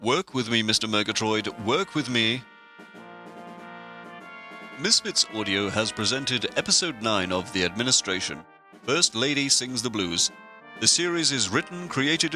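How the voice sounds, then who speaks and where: An actor playing a suave, pompous narrator in an audio sitcom, speaking formally and deadpan. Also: male voice, medium pitch. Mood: suave, self-assured. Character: suave, pompous male narrator who steps into the story.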